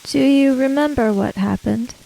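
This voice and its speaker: A woman playing a calm, courteous female samurai in a tabletop game, measured, formal and reserved as she questions a spirit. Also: sits high, creaky, rough recording. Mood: calm, probing.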